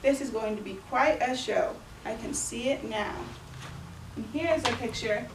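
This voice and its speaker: A woman's voice: on the high side, a little slow, noisy background. A young woman giving a booktalk to a class of young children, reading story passages aloud and acting out the quoted lines. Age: young adult. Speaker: student librarian giving a booktalk. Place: elementary school classroom. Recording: echoey classroom from far off.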